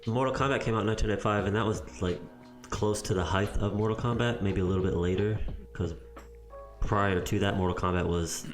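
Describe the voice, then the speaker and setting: Man, very deep voice, podcast co-host, casual rambling chat. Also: fairly quick, faint music behind. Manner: rambling, restarts mid-sentence.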